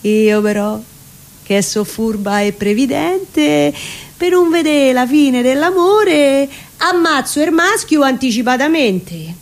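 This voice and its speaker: A woman reciting as a female scorpion from a comic verse fable, shrewd and defiant. The voice is fairly high, with a Roman accent and an assertive, theatrical delivery. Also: lively intonation, slow, full character voice. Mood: smug, resolute. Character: shrewd, defiant female scorpion justifying killing her mates.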